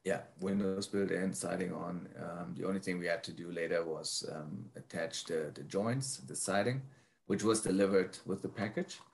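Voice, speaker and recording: A man presenting slides informally, speaking haltingly with frequent ums as he explains his work. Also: low voice, creaky, slight background noise. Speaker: presenter, prefab builder.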